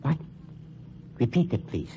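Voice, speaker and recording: An actor playing a sly funeral director with formal, insinuating politeness, in old-time radio mystery style. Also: male voice, unhurried, mellow, close to an everyday voice. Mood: probing, smug. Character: suave, sly male funeral director, coolly menacing. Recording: dull lo-fi old radio recording, faint noise.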